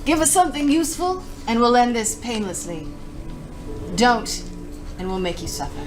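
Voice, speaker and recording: A woman's voice: fairly high pitch, very animated, moderate pace. A woman playing a tabletop game, chatting casually and speaking in character. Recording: music underneath.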